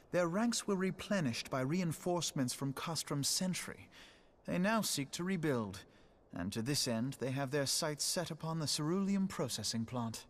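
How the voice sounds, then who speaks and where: A courteous commander played by a man in a fantasy game. His voice sits a little high for a man, and his speech is formal and measured. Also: lightly acted. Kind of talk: scripted fantasy game dialogue.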